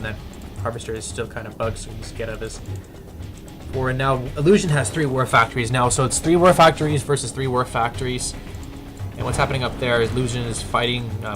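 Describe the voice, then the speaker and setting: A man casting a strategy game replay, halting with fillers and restarts and breaking into bursts of excitement. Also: medium pitch. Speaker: game commentator. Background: background music and keyboard typing.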